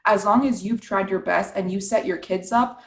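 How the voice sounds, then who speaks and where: A woman's voice: medium pitch, normal speed, echoey room. A woman from New York, a podcast guest telling her story cheerfully. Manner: bright, cheerful, relaxed storytelling. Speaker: guest, real estate investor. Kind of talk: casual interview.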